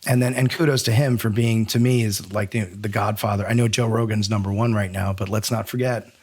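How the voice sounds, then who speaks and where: A man's voice: lowish voice, steady tone, a little fast, darkish tone, slightly rough. A man hosting a podcast in a conversational interview style, reflective and rambling as he thinks aloud. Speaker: podcast co-host.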